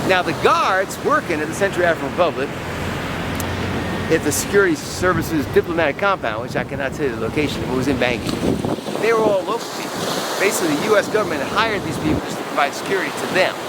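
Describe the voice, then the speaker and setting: Animated high-voiced American man, windy beach. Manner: animated, emphatic, with repetition for effect. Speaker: travel vlogger. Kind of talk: casual storytelling to camera. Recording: loud wind and surf noise.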